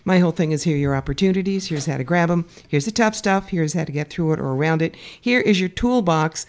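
A woman's voice: lowish voice, quick pace. A woman psychic guest on a radio show, talking in a wry, blunt, joking way. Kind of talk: casual radio chat. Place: radio studio.

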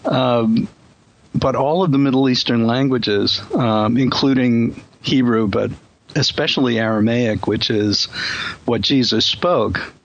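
A man's voice: medium pitch, takes it slowly, lo-fi sound. A man hosting a casual discussion, speaking thoughtfully with frequent ums and you-knows. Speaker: host.

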